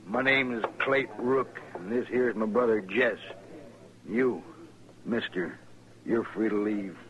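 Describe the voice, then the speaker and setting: A man's voice: fairly low pitch, takes it slowly, fairly bright tone. An actor playing a menacing, big-talking Old West gunman in an old radio drama, taunting with mock politeness. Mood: menacing, mock-polite. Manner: mock-polite, taunting, folksy drawl-like phrasing. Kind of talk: old-time western radio drama acting. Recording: dull old radio recording, crowd murmur.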